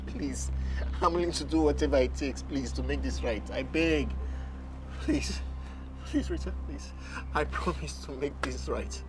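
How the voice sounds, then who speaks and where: A man's voice: expressive, normal speed, pauses often, over music, rough recording. A man playing a jealous husband who turns to tearful begging. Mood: pleading. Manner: accusing, then begging in a low broken voice.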